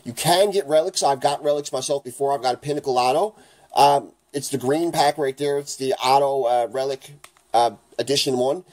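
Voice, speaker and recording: A man with a raspy voice from the flu, chatting casually as he opens hockey card packs in his home kitchen. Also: medium pitch, normal speed. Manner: chatty, rambling, excited at good pulls. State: raspy, getting over the flu. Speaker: hockey card channel host. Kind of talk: casual card-opening vlog.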